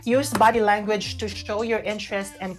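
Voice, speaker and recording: Woman, Filipino accent, medium pitch, emphatic casual explaining, radio co-host, music underneath. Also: level tone, normal speed. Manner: emphatic, mixing in Tagalog. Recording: music playing behind the voice. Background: background music.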